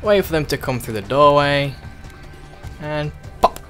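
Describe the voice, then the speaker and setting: A man's voice: on the high side, animated, a little slow, fairly bright tone. Crude joking man commenting over game music. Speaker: let's play host. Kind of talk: casual gameplay commentary.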